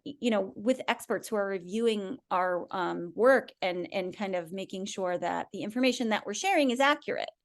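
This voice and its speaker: A woman speaking as an expert podcast guest, explaining in a conversational way and often checking in with the listener. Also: medium pitch.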